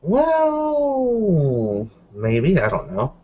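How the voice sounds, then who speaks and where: A man voicing a cautious, questioning woman in a tabletop roleplaying game, speaking in a measured, hesitant way. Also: a little high, wildly swooping pitch, dull audio, voice pushed up, full character voice. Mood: probing.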